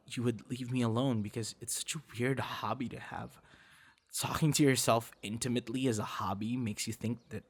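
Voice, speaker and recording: A man playing a nervous, tender young male friend in an intimate ASMR roleplay, speaking hesitantly with pauses and sighs. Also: fairly low pitch. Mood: nervous, earnest, then grateful, sincere. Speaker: ASMR roleplay audio performer. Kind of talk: ASMR boyfriend roleplay, intimate scripted confession.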